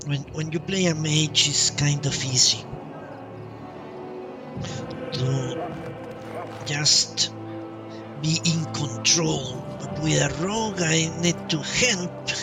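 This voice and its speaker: A man gives casual game commentary at a slow pace, often repeating words as he thinks aloud. His pitch is average, and he sounds a bit away from the mic with game music clearly playing. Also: far from the mic. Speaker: game streamer. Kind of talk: casual live playthrough commentary. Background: video game music.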